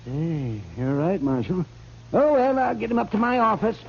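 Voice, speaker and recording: An actor playing a brisk, fussy, ghoulish town doctor in animated 1950s radio western style. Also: male voice, high voice, creaky, slight background noise, exaggerated voice. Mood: excited, businesslike. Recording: muffled old radio with crowd murmur.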